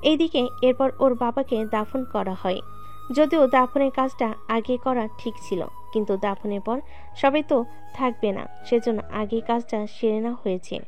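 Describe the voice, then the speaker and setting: Woman narrator, higher-pitched voice, plain matter-of-fact telling of an audio story. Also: moderate pace, a little mellow, highs cut off, faint music behind.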